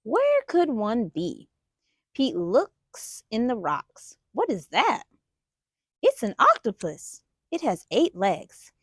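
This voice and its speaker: A woman's voice: fairly high pitch, huge pitch swings, slow pace, breathy edge, no room sound, studio quality. A woman hosting a children's storytime, reading a picture book aloud expressively and putting questions to young listeners. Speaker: children's storytime host and reader.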